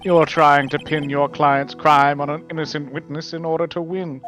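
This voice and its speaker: A man playing a smug, composed young prosecutor, pompous and measured, in a live dub of a courtroom game. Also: fairly high pitch, level tone, fairly bright tone, faint music behind, natural voice. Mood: condescending.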